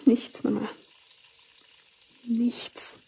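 Radio play: anxious, haunted young daughter, very breathy, soft dark tone. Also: female voice, a little high, level tone, drawn-out words, exaggerated voice. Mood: evasive, upset. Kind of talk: German radio drama acting.

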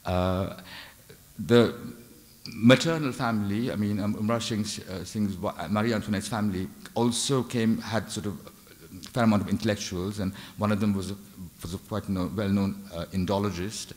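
Hesitant low-voiced lecturer in a hall. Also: male voice, steady tone, moderate pace. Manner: hesitant, many fillers and restarts. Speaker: art historian lecturer. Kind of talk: unscripted art lecture with slides. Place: large dark lecture hall. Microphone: lectern microphone. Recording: long hall reverb.

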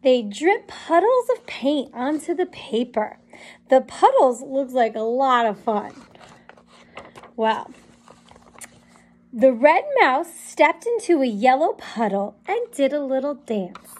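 A woman in her thirties leads a children's story time in a high, very lively voice. She takes her time, with a sing-song, encouraging delivery that pauses around questions for little listeners. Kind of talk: animated picture-book read-aloud for young children. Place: small indoor classroom or playroom.

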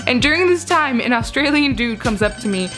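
A woman's voice: high-pitched, animated, a little fast, music in the background. A woman in her twenties from the United States, chatting to a handheld camera as she tells a travel story with lots of fillers. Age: 20s. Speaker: travel vlogger. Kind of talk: casual vlog storytelling to camera. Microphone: handheld camera held close.

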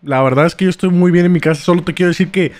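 A man's voice: high voice, racing, a little mellow. A young man with a Mexican accent chatting casually in a slangy, stammering way, on a close microphone. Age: young adult. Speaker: prank-call streamer. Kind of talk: casual prank call banter. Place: small streaming room indoors. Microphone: close boom-arm microphone.

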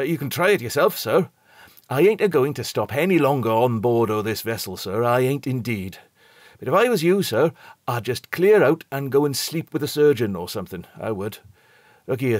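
A man's voice: medium pitch, no room sound, clean studio sound, voice pushed up, close to an everyday voice. A man voicing a nervous, servile ship's steward who keeps saying sir. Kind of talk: audiobook character voice.

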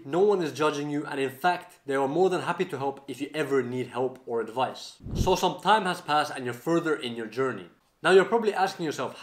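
A man in his twenties giving instructional advice to camera as a fitness coach, recorded in a roomy kitchen. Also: fairly high pitch. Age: 20s. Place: home kitchen, indoors.

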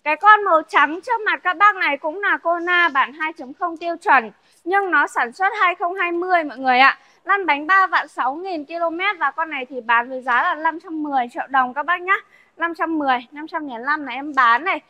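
Young woman, car seller, high bright voice, dry close sound, chatty and repetitive, showroom walkthrough. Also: a little fast, no echo. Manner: chatty, repeats herself, addresses viewers as "các bác". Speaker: used car salesperson. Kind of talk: casual showroom sales walkthrough.

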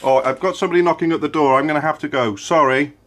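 Hesitant man, loud drill noise. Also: a little high, steady tone, fast. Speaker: prank caller. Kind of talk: casual phone call. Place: indoors, kitchen worktop. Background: loud electric drill, clicks.

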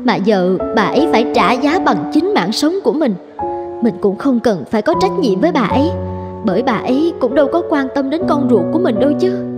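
A woman's voice: high voice, expressive, a little fast, over loud music. A woman playing an earnest, emotive young nurse and mother, tender and sorrowful, in a cartoon drama. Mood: bitter, hurt. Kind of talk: dramatic cartoon dubbing in Vietnamese.

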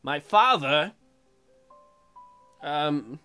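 Male let's player, bright voice, sarcastic and crude, casual delivery over light music. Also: a little high, lively intonation, very slow, clear, resonant tone. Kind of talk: casual game commentary.

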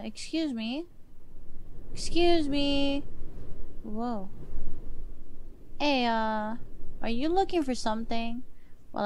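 A woman streamer with a soft voice, chatting casually as she plays a horror game and reads its lines aloud. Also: on the high side, slight background noise, low-quality recording. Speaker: gaming streamer. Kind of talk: casual let's-play commentary.

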